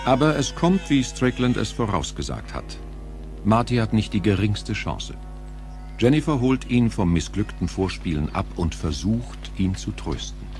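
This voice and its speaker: A man delivers scripted, dramatic narration for a radio play. His voice is fairly low and moves animatedly, with faint music underneath. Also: sing-song, measured pace.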